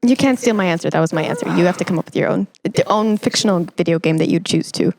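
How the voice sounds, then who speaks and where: A woman's voice: fairly low pitch, fast talker, a little mellow, a little hiss or hum. A woman hosting a casual podcast interview, chatting in a playful, teasing way. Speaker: podcast host.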